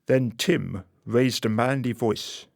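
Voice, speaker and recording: A man narrating a scripted radio play in a wry, measured storytelling manner. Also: mid-range voice, gravelly, no room sound, studio quality.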